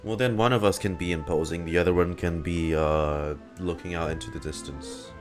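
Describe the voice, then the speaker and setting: A man's voice: low-pitched, fairly even intonation, moderate pace, over music. A man casually reacting to a video game as a streamer, playfully talking back to the characters.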